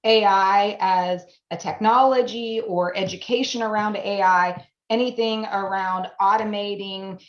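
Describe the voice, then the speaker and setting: An earnest woman in her late forties, German her first language, explaining her aims in steady, repeating phrases and laughing easily between answers. She sits in an echoey room, and the video-call sound is somewhat dull and lo-fi. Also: medium pitch, a little slow, few pauses. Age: 40s. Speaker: guest, AI education business founder. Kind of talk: interview conversation.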